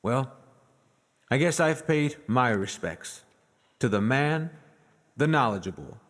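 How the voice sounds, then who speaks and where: A man playing a reflective admirer paying tribute in a stage monologue, with measured, dramatic phrasing. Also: mid-range voice, lively intonation, fairly bright tone. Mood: reflective, respectful. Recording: reverberant stage hall.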